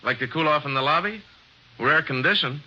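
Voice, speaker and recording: A chatty hotel clerk. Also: male voice, on the high side, expressive, fairly quick, pushed and bright, full character voice. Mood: eager. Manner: eager, chatty. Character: friendly eager male hotel manager. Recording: dull old radio recording.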